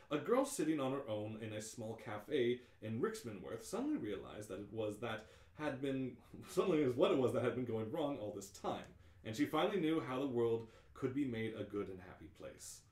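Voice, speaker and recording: A man's voice: medium pitch, a little mellow, reverberant. A man hosting a live script read-through, narrating in a playful, theatrical way. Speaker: host and narrator.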